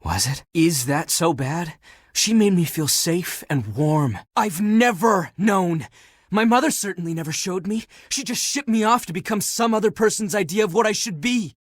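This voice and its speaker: A man voicing a flirty, laid-back but insecure living pile of laundry in a dating video game, talking in a casual, teasing, breathy way. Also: sits high, lively intonation, normal speed, no room sound, clean studio sound. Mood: anguished, defensive.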